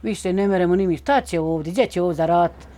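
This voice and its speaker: A Bosnian woman with a mellow, fairly low voice. She talks plainly to an interviewer, laying things out in short, simple phrases. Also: quick pace. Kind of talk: plain spoken recollection.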